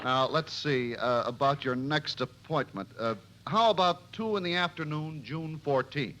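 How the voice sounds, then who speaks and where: A man's voice: on the high side, unhurried, natural voice. An American man playing an affable, matter-of-fact dentist in a 1950s radio comedy. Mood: chatty. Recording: noisy old radio recording.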